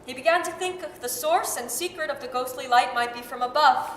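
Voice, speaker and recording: Actress as measured story narrator, high voice, old radio play style, echoey hall. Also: female voice, a bit bright. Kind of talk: scripted narration, 1930s radio-style staged reading. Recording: echoey hall, faint hiss.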